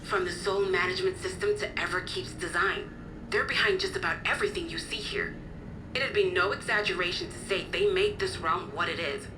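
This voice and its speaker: An actress voicing a composed, articulate resistance officer in a video game, explaining things formally and with measured care. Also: female voice, lowish voice, background noise, close to an everyday voice. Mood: composed, informative. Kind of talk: video game cutscene acting.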